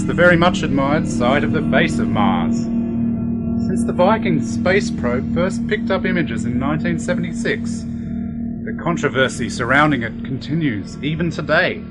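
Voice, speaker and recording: A man performs a brisk museum tour guide in a sci-fi radio drama. His highish voice moves up and down as he instructs visitors. Loud guitar music plays behind him. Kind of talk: scripted tour commentary in amateur radio play.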